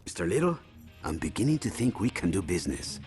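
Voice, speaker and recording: A man playing a calculating crime lord in a video game, formal, courteous and deliberate. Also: deep voice, sing-song, music in the background. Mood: businesslike. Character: smooth, calculating male crime lord making deals.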